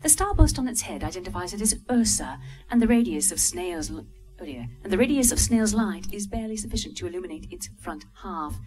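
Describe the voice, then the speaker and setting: A woman reading stage directions at a live script reading, brisk and amused, with the odd slip. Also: medium pitch, expressive, a little mellow, low-quality recording. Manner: brisk, amused, occasional slips.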